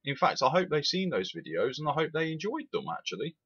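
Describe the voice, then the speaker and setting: A man's voice: fairly high pitch, fast, slightly rough, lo-fi sound. A man, giving an opinionated, emphatic rant as a rail sim reviewer. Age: 20s. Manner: animated, emphatic, repeats itself.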